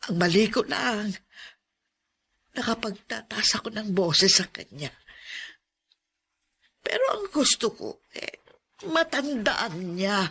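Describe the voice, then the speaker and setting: A woman's voice: mid-range voice, lively intonation, slow pace, long pauses, fairly bright tone, husky, heavily acted. A woman playing an older aunt in an audio drama, hurt and reproachful yet loving, plaintive and emotional. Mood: tearful, loving. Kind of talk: melodramatic radio-style drama acting.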